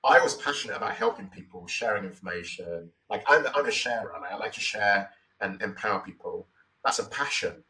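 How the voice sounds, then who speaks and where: Deep, bright-toned Nigerian man, slightly distant. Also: a little fast. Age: 40s. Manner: thoughtful, repeats phrases, many "you know, like" fillers. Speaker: guest. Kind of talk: reflective interview answers.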